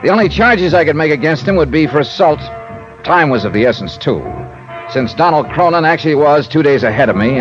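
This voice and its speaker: A man acting a brisk, hard-boiled American private investigator in a 1950s radio drama, punchy and wisecracking. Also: medium pitch, close to an everyday voice. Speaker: lead actor and narrator. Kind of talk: 1950s radio detective acting, first-person narration. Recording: muffled old radio recording, music behind.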